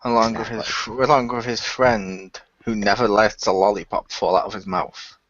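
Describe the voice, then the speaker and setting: A man's voice: on the low side, flat delivery, normal speed, fairly bright tone, no room sound. A man, a cast member, chatting casually and adding dry joking asides. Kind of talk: casual podcast chat. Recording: dull lo-fi dry sound.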